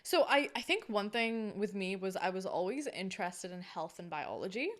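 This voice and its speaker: A woman's voice: mid-range voice, sing-song, normal speed, fairly bright tone. A woman in her thirties, a Kannada speaker from India with a mixed Welsh and Canadian accent, chatting as an interview guest, laughing often. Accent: mixed Welsh and Canadian English. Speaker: startup founder, interview guest. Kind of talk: casual interview conversation.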